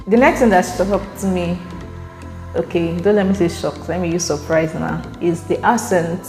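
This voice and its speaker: A woman's voice: on the low side, steady tone, normal speed, darkish tone. A young Nigerian woman vlogging casually. She speaks with a Nigerian accent, chatty, laughs often and repeats words while she thinks. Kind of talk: casual vlog to camera. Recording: echoey room, faint music.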